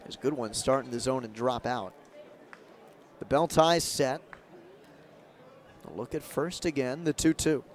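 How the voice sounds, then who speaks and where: A man doing live radio play-by-play of a baseball game as the announcer, in measured calls with emphasis on key moments. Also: medium pitch, steady tone. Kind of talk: live radio sports commentary. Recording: crowd and ambient stadium noise behind. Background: ballpark crowd murmur.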